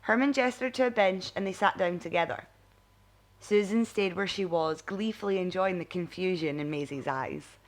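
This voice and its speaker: A woman narrating a scripted bedtime story in an expressive audiobook style. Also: fairly low pitch, moderate pace.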